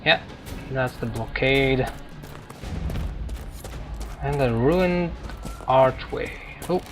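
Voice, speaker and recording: Man, gaming host, casual halting commentary, unhurried pace, mid pitch, loud game music and battle noise behind. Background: video game music and combat sounds.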